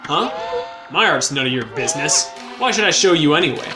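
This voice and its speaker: A cocky, rude little male artist fires off wisecracks and boasts, as a man puts him on. Game music plays loudly behind him. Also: on the high side, animated, a little fast, close to an everyday voice. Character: brash cocky tiny male wandering artist.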